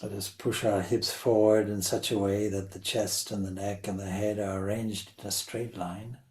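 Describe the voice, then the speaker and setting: A man leading a guided meditation as a spiritual teacher, speaking deliberately in short phrases with long pauses. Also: lowish voice, flat delivery, darkish tone.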